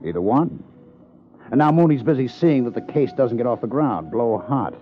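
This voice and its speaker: An actor playing a matter-of-fact, probing police lieutenant in a 1950s radio detective drama. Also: male voice, mid-range voice, animated, normal speed, faint music behind, close to an everyday voice. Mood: puzzled, probing. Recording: muffled old 1950s radio recording.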